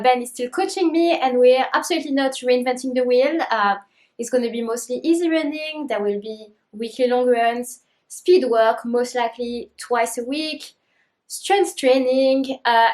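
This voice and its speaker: A woman's voice: high-pitched, a little mellow. A woman vlogging about her running plans, upbeat and methodical as she lists her race times. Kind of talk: talking to camera. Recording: roomy echo.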